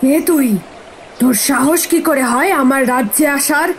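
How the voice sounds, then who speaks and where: A woman voicing a fierce, threatening mermaid who guards her forest spring in an animated fairy tale. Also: high-pitched, measured pace, a little hiss or hum. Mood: menacing. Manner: threatening, accusatory.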